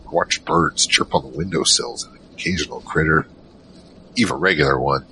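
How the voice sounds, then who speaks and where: A man's voice: extremely low pitch, brisk, pushed and bright, slight background noise, rough recording. A man playing a wary team member who narrates an audio drama in a rambling, wry, conversational way. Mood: wry storytelling. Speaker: narrator and lead character.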